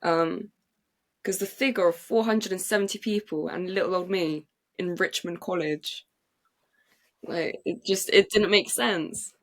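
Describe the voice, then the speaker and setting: A young English woman, a playwright and actress, telling her story in an excited, chatty way with many fillers and restarts. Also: lowish voice, measured pace. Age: young adult. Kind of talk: casual interview storytelling. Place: podcast recording.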